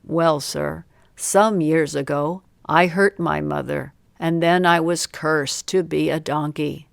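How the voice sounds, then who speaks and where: Woman putting on a low, sly male thief. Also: steady tone, takes it slowly, gravelly, no room sound, studio quality, heavily acted. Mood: falsely meek. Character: cunning male thief, smooth-talking trickster.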